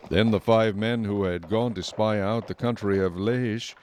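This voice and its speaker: A man reading scripted Bible narration in a measured, solemn storytelling manner. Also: on the low side, steady tone, a little mellow, a little hiss or hum. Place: dry studio.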